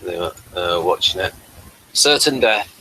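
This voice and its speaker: A man hosting a casual review chat, talking in a halting, rambling way. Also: deep voice, expressive, vocal fry, bright, forceful tone, a little hiss or hum, poor audio. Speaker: host of a TV review talk.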